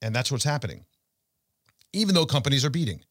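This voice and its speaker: A man hosting a financial talk show, speaking conversationally and emphatically to listeners, with rhetorical questions and deliberate pauses. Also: mid-range voice, very animated, fairly bright tone, no room sound, studio quality. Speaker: financial show host and portfolio manager. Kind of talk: conversational investment commentary addressed to listeners.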